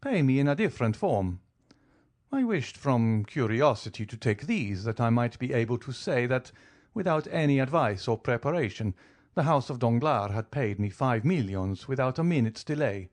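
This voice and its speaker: A man's voice: medium pitch, animated, normal speed, a little mellow, natural voice. A man voicing a suave, wealthy count who speaks in a polished, teasing, formal way. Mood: cool, composed. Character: suave wealthy male count, coolly ironic.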